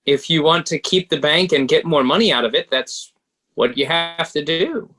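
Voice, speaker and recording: A man playing a pragmatic, smooth-talking banker who coolly advises and persuades, in a table read of a stage musical. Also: a little high, lively intonation, normal speed, sharp tone. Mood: courteous, measured.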